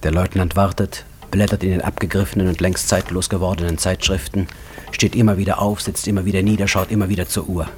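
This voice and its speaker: Deep-voiced dramatic male narrator. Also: flat delivery, slight background noise. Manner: intense, measured storytelling. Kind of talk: dramatic scripted literary narration. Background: music.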